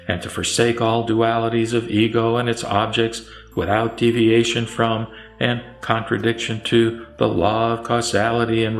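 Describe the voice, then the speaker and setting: A man reciting scripture as a scripted narration, with measured, solemn phrasing. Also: mid-range voice. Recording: roomy echo, music underneath. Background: background music.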